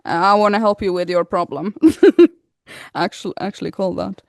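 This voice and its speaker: A woman co-hosting a relaxed podcast conversation, her voice sitting at a middling pitch and recorded dry and close with almost no room around it. She giggles through her sentences and her pitch bounces up suddenly when she gets excited. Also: normal speed, no echo. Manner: giggly, laughs often while talking. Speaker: podcast co-host. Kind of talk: casual chat.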